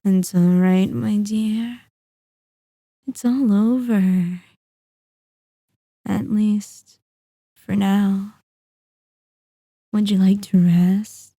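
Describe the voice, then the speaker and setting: Woman acting a very slow, dark-voiced possessive vampire mistress. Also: mid-range voice, steady tone, no echo, studio quality. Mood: possessive, soothing. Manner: slow, lingering, condescending endearments. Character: sadistic, possessive female vampire mistress, softly menacing. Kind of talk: roleplay ASMR.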